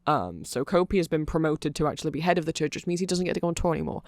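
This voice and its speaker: A nerdy male vampire, played by a man, explains lore in an ASMR ramble, earnest and full of fillers. His voice sits slightly high for a man, and the sound is very dry, with no room around it. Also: very animated, very fast, no echo. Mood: earnest, sympathetic. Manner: rambling, filler-heavy, earnest fannish enthusiasm.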